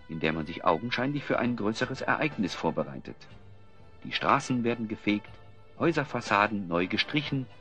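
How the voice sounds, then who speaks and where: A man's voice: medium pitch, bright, forceful tone. A man narrating a radio play as a storyteller, with scripted delivery.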